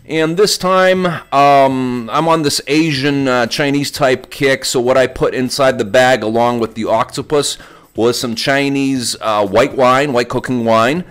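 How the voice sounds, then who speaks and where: A man's voice: medium pitch, unhurried, a bit bright, clean tone. A man talking casually as a podcast host, walking listeners through cooking step by step in an informal, conversational way. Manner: informal, conversational, describes steps as they go.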